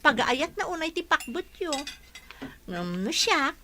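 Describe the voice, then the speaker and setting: A woman's voice: high voice, big pitch swings, a little slow, sharp tone, a little hiss or hum, exaggerated voice. A woman playing a cheerful, chatty young student granddaughter in a radio comedy-drama, with music underneath. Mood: appreciative, playful.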